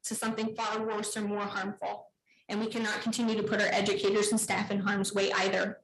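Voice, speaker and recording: A woman's voice: mid-range voice, flat delivery, slight background noise. Earnest woman pleading in an echoey room. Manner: earnest, pleading, deliberate phrasing. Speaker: parent speaking at public comment. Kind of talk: public comment speech at a school board meeting. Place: indoor public board meeting room.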